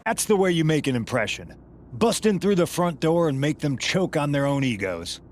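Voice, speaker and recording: A man's voice: a little high, very animated, moderate pace, a little hiss or hum. A man performing a shrewd, supportive wrestling manager in scripted game dialogue, assured and matter-of-fact. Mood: reassuring.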